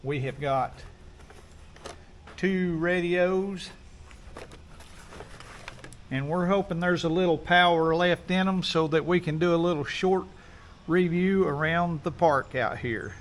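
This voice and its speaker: A man walks through an unboxing in a relaxed, folksy drawl, pausing often with little fillers. His voice sits a touch high for a man, and he talks like he's outdoors in a park. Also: a little hiss or hum. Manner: relaxed, folksy, many "uh" pauses. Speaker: video host doing an unboxing. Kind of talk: casual unboxing walkthrough.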